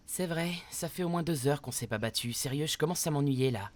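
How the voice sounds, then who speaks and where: A man voicing a cocky, teasing female archer in an animated comedy dub, boasting in punchy exclamations. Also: on the high side, a little fast, lightly acted. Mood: bored.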